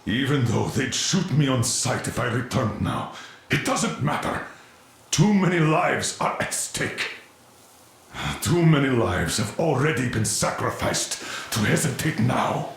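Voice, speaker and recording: In a heavily echoing space, a middle-aged military commander from a dramatic game. He is emphatic and driven, with swinging pitch and a gravelly edge to his commands. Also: animated, unhurried. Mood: grim, forceful. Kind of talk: dramatic video game acting. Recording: very echoey, reverberant room sound.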